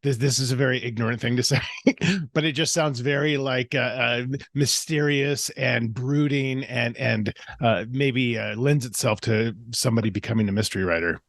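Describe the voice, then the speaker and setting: A man's voice: medium pitch, normal speed, creaky, a bit bright. A man hosting a casual interview podcast in a mid-range voice. He rambles and restarts his sentences often. The sound is dry and close, with no room echo. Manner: rambling, with many restarts and fillers. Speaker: podcast host.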